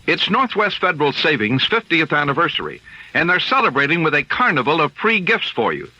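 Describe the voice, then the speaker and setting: Commercial announcer, male: punchy, highly forceful tone, swinging intonation, upbeat scripted read, close dry radio sound. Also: big pitch swings, brisk, no echo. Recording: dry, dull radio recording.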